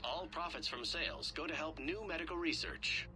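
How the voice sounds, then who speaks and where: A man's voice: a little high, normal speed, lightly acted. A man playing a courteous company announcer in a game, delivering a promotional message.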